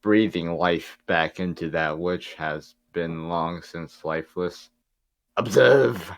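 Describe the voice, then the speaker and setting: A man voicing a gloating mad scientist villain in a cartoon table read, with theatrical, drawn-out proclamations. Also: deep voice. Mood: grandiose.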